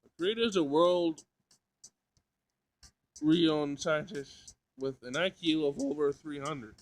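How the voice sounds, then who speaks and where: Literal-minded schoolgirl android for a visual novel, formal, deadpan delivery, slightly high for a male read man acts it out. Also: takes it slowly, no echo, low-quality recording. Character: blunt, literal-minded robot in a high school girl's body.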